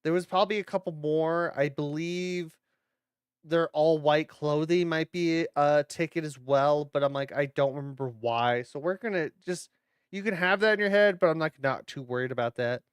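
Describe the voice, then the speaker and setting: A man's voice: a little high, normal speed, clear, resonant tone, no echo. A man hosting a horror watch-along, giving casual reaction commentary with playful, rambling asides.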